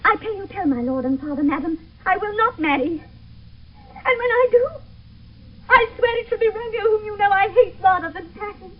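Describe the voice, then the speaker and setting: A passionate lovesick young noblewoman, high voice, old radio shakespeare, tinny like a phone. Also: normal speed. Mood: defiant, pleading. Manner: impassioned, declamatory verse delivery. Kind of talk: classical Shakespearean radio drama acting. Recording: tinny, narrow-band old radio broadcast.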